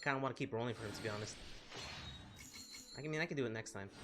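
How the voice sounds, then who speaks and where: A male gamer with a middle-pitched voice commentates casually on his play. He rambles in an informal, excitable way. Game music is clearly heard behind him. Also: fast talker. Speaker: gaming streamer.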